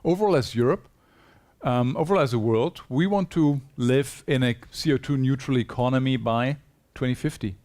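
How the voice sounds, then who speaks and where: A man's voice: medium pitch, moderate pace, a little mellow. A man giving a conference presentation in a hall, earnest and persuasive, putting rhetorical questions to his audience. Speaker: conference speaker.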